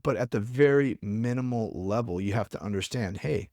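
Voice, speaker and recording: A man's voice: on the low side, normal speed, darkish tone, dry recording. Rambling man on a dry podcast mic. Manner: rambling, tangents, filler-heavy. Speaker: podcast host. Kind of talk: casual podcast chat.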